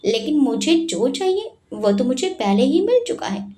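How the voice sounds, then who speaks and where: A woman doing a young former model in a spoken storybook who is quietly sure of her own path. She speaks softly and confidently in a high voice. The room adds an echo. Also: sing-song, normal speed.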